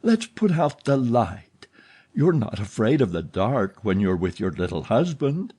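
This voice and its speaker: A man reading an audiobook, voicing a jealous, menacing man who speaks forcefully and with menace. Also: mid-range voice, big pitch swings, a little slow, slightly rough.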